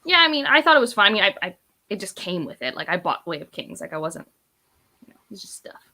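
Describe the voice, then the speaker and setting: Woman, bright and forward voice, casual book-vlog co-host, talkative and self-deprecating. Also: mid-range voice, sing-song, fast talker. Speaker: book reviewer, read-along co-host. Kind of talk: casual chat.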